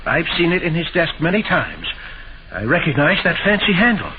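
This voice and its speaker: A helpful witness in a vintage radio mystery. His fairly high, lively voice comes through a tinny recording with loud babble behind. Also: normal speed, a loud crowd. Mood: confirming, accusing. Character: helpful male witness accusing his colleague. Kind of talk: 1940s radio drama acting. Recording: tinny old radio, loud crackly background.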